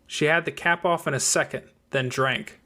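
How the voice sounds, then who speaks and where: A man's voice: fairly high pitch, moderate pace, bright, forceful tone. A man reading a scripted fiction audiobook as its narrator. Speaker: audiobook reader.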